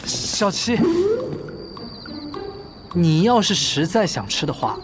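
A man's voice: fairly high pitch, very animated, slow, music in the background. Bossy, fussy young male executive. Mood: fussy, persuading. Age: young adult. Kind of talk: TV romance drama dialogue.

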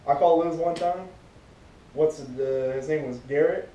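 A man's voice: normal speed, mellow. Distant, roomy-sounding young American man. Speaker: co-host of fishing gear reviews. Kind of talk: casual review chat. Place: living room at home, indoors. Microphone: camera a few metres away. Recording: distant roomy camera audio, rumbly background noise.

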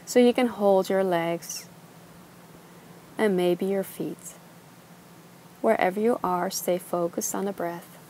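A young woman teaching a gentle yoga class, giving slow, soothing instructions. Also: mid-range voice, a little hiss or hum, low-quality recording. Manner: calm, slow, soothing cues. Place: outdoors on a beach.

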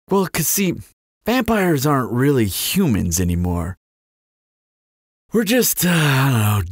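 A man's voice: lowish voice, no echo, studio quality. A man playing a flirtatious, sardonic vampire in a roleplay audio drama, talking in a glib, teasing, playful drawl. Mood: musing, morbid. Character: flirtatious, sardonic male vampire toying with a slayer.

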